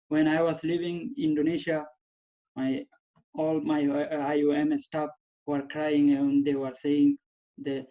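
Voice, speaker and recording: A young man whose first language is not English recounts his past in a steady, flat voice, often stopping to restart phrases. His tone is soft and mellow, somewhat higher than most men. The recording sounds dull and lo-fi. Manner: halting, repeats and restarts phrases. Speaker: graduating student telling his story. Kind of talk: personal testimony.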